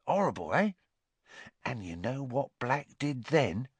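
A man voicing an excitable young male bus conductor who gossips and keeps seeking agreement. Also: medium pitch, unhurried, breathy edge, no echo, voice pushed up. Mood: excited gossip.

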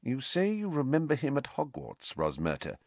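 A man voicing a stern, brisk older female schoolteacher with a sharp, precise manner in a storybook. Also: medium pitch, wildly swooping pitch, dry recording, pitched above the performer's own voice.

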